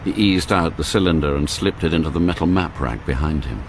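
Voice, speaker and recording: An actor as the grave narrator of a radio spy thriller, telling the story in a measured, scripted way. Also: male voice, a bass voice, slight background noise. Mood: grave, tense. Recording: loud music and engine effects behind.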